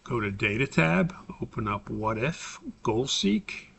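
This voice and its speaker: Bright-voiced American man teaching a tutorial. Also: medium pitch, big pitch swings, measured pace, creaky, a little hiss or hum. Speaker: course instructor recording a tutorial for his class. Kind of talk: informal screen-recorded software tutorial, explanatory.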